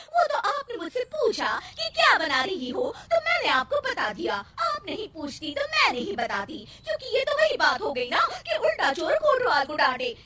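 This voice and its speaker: A woman's voice: very high-pitched, pushed and bright, heavily acted. A woman voicing a cheeky, long-winded young daughter-in-law in a cartoon story, rambling in circles with mock modesty. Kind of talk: Hindi cartoon moral story acting. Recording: distant mic, faint noise.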